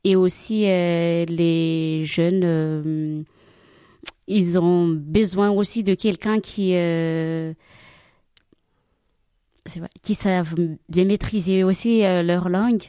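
A woman's voice: fairly low pitch, steady tone, dark tone, smooth, dry recording, clean studio sound. Hesitant woman, non-native speaker. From Madagascar. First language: Malagasy. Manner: hesitant, many pauses and fillers. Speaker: religious sister and catechist. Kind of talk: interview answers.

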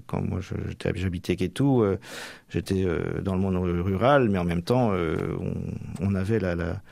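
Man, low voice, hesitant, many fillers, dry studio sound. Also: fairly even intonation, normal speed, creaky, darkish tone, no room sound. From Normandy, France. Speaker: deacon soon ordained priest. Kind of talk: radio interview.

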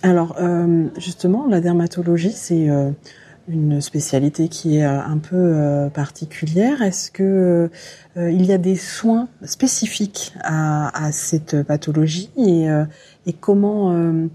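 A woman hosting a regional radio interview. Her voice is lowish, soft and husky, and she asks her questions while groping for words, with frequent fillers. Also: unhurried. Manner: searching for words, many fillers and restarts.

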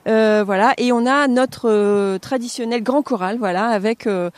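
A woman directing a choral festival, explaining the program in an interview with many pauses and fillers. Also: on the high side, dry recording. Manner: hesitant, many fillers and restarts. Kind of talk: radio interview.

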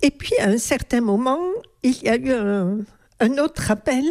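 A woman interviewer gently prompting her guest with questions. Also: a little high, normal speed. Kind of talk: radio interview.

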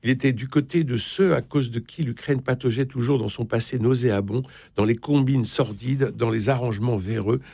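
A man's voice: mid-range voice, normal speed, gravelly, hardly any pauses, warm tone, breathy edge, no echo. A man hosting a literary interview, talking and reading book passages aloud. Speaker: interviewer, literary show host.

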